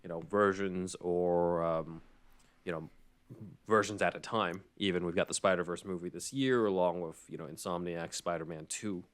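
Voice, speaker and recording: A man's voice: sits low, fairly even intonation, moderate pace. A man around his thirties talking casually as a podcast co-host. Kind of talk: casual chat.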